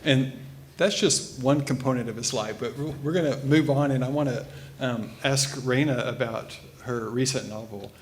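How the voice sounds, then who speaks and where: A man moderating a live panel discussion, speaking informally and haltingly with fillers. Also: medium pitch, a little hiss or hum.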